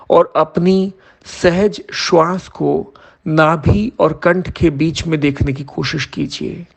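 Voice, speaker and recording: A man leading a guided yoga nidra meditation as an instructor, giving measured instructions in short phrases with pauses. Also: on the high side, creaky.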